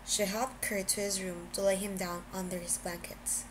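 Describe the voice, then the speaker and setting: A woman's voice: mid-range voice, flat delivery, moderate pace. Woman narrating a story over background noise. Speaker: amateur audiobook reader.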